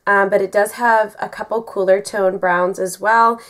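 A young woman in her twenties, raspy from a cold, chatting through a makeup tutorial in a bedroom, full of ums and likes. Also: mid-range voice, steady tone, normal speed, a bit bright. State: getting over a cold. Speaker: beauty vlogger. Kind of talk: casual makeup tutorial talk. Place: bedroom, indoors.

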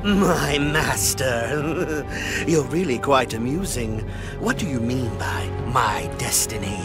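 An actor playing an arrogant, unhinged villainous mage in a video game, resentful, theatrical and boastful. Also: male voice, medium pitch, expressive, slow pace. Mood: bitter, mocking. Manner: theatrical, boastful, cackling and shouting. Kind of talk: dramatic video game acting. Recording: loud music under the voice.

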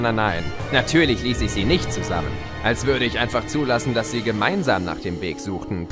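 A man's voice: mid-range voice, natural voice. A man playing a gloating, mischievous chaos spirit who tells of his schemes in a theatrical, teasing way in an audio drama. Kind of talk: German fan audio drama, storytelling. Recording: music behind the voice.

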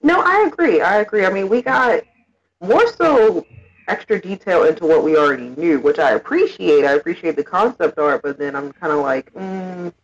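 Low-voiced woman on dull lo-fi audio. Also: expressive, moderate pace. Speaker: podcast co-host. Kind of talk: casual podcast chat.

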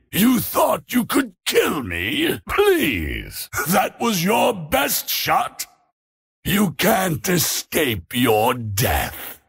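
An actor playing an arrogant, bloodthirsty demonic male sorcerer in a video game, with a slow, threatening, boastful delivery. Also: heavily acted. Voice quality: deep gravelly menacing growl.

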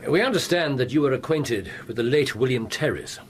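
An actor playing a shrewd, sceptical detective in a British radio drama, with an English accent and an incisive, musing manner. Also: male voice, medium pitch, animated, fairly quick, natural voice. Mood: businesslike. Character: brisk, shrewd, sceptical male detective, coolly analytical.

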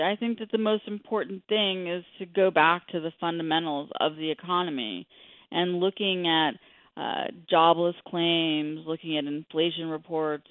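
Phone-line guest: middle-aged female expert, explanatory and measured, dry sound with narrow bandwidth. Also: sits low, dry recording. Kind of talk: radio interview, explanatory talk.

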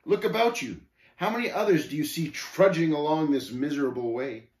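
A man's voice: on the high side, lively intonation, fairly quick, darkish tone, pitched above the performer's own voice, close to an everyday voice. A man voicing Shame's part in a story, a haughty scoffer who talks in archaic speech with contemptuous, gloating, snapping taunts. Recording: roomy, echoing voice recording.

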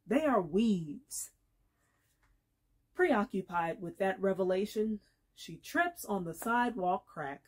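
A woman in her thirties gives an expressive dramatic reading of literary fiction, with deliberate phrasing and pauses. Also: medium pitch, slightly rough. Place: small indoor room against a plain wall.

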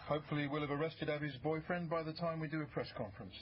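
An actor playing a harried, businesslike senior detective in a naturalistic radio drama. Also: male voice, fairly high pitch, fairly even intonation, fast talker, natural voice. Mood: brisk, evasive. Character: harried, brisk male senior police detective. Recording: slightly dull, faintly noisy recording.

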